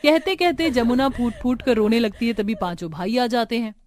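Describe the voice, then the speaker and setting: High-voiced woman narrating a story. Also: moderate pace, darkish tone, faint music behind. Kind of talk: scripted cartoon story narration.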